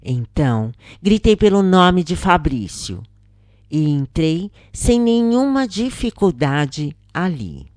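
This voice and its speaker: A Brazilian woman narrates a first-person account in a low voice. Her delivery is solemn and deliberate, with slow pacing and dramatic swings in pitch. She phrases each thought expressively, as in an audiobook. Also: sing-song, darkish tone, dry recording, clean studio sound. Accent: Brazilian Portuguese.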